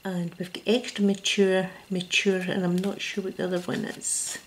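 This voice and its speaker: A Scottish woman speaking ASMR in a soft, gentle whisper close to the mic, at a table indoors. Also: fairly low pitch, little pitch movement, normal speed, slight background noise, poor audio. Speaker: ASMR creator.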